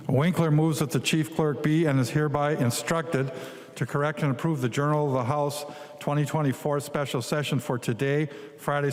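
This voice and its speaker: A man working as a legislative clerk, formally reading out motions. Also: mid-range voice. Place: large legislative chamber. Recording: reverberant large chamber hall.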